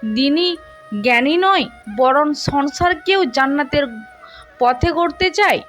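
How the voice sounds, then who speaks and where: A woman's voice: sits high, takes it slowly, fairly bright tone, soft background music. A woman storyteller voicing a devout, respectful, devoted young husband with an earnest manner in a romantic story. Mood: tender.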